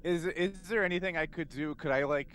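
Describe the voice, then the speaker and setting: A man playing a tabletop game, chatting casually in a playful, halting way as he improvises. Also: a little high, fairly bright tone, a little hiss or hum.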